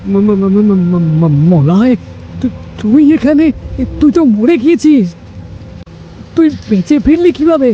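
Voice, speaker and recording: A man's voice: very high-pitched, animated, a little slow, voice pushed up. A man voicing a terrified, guilty male villager in a cartoon ghost story, stammering and pleading in panic. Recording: dull lo-fi sound with faint noise.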